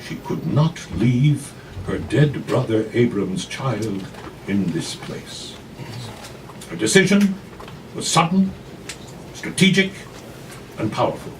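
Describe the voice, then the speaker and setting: A man reading fiction aloud in a dramatic literary style, with measured, emphatic phrasing and pauses, recorded live in a café. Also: medium pitch, big pitch swings, far from the mic, background noise. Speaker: actor reading a novella. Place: café, live before an audience.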